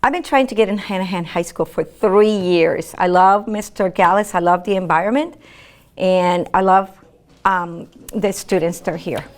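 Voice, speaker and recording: Older Puerto Rican-accented woman teacher, native Spanish speaker, warm and halting, interview answers. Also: fairly low pitch. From Puerto Rico.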